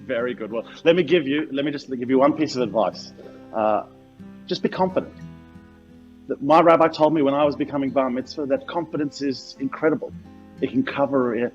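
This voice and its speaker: A man's voice: mid-range voice, fairly quick. A man, a rabbi, chatting as a radio guest in a hesitant, word-repeating way over a lo-fi link. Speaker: senior rabbi, show guest. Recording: dull lo-fi remote link. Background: light guitar music.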